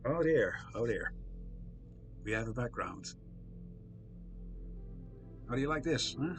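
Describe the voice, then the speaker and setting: A man live-streaming, chatting casually with viewers, reading chat aloud with a soft, soothing voice and self-deprecating humour. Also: medium pitch, expressive, slow pace, faint music behind.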